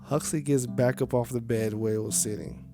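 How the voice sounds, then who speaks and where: A man's voice: mid-range voice, fairly even intonation, moderate pace. A man reading scripted game narration aloud as a streamer playing a visual novel. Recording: music faintly behind the voice. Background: music.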